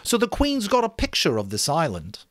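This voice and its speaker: A man's voice: fairly high pitch, wildly swooping pitch, moderate pace, a bit bright, no echo, clean studio sound, pitched above the performer's own voice. A man voicing an earnest young schoolboy leader making emphatic speeches to a group in a dramatic story reading. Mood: hopeful, rallying. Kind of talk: dramatic audiobook character reading.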